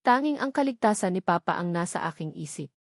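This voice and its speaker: A woman's voice: lowish voice, expressive, fast, no echo. Filipino woman narrating a drama, dry sound. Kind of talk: scripted dramatic first-person story narration.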